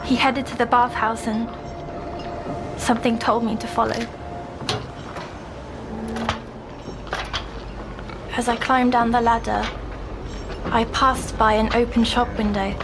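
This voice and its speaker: A woman playing a timid young girl in an audio drama, haltingly recounting her nightmares in a hushed, haunted way. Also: a little high, level tone, background noise, lightly acted. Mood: uneasy, recalling. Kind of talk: audio drama acting, slow dreamy narration.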